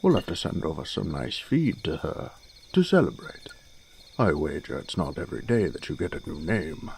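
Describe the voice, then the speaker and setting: A man playing an older fatherly lord in a fantasy audio drama, genial and courtly in a measured way. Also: extremely low pitch, big pitch swings, vocal fry, darkish tone, slight background noise, heavily acted. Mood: hearty, welcoming.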